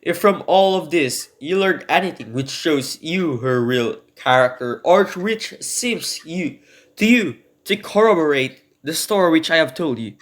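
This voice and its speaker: A man playing a desperate prisoner in an amateur radio drama, speaking earnestly and haltingly as he pleads his innocence. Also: on the high side, fairly bright tone.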